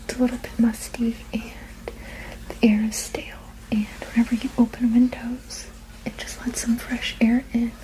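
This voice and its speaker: A woman whispering gently and reassuringly in an ASMR roleplay as a soothing witch healer, with a breathy, unvoiced voice. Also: a little high, little pitch movement, normal speed, poor audio. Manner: gentle, soothing, reassuring, repeating soft words. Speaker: ASMR performer.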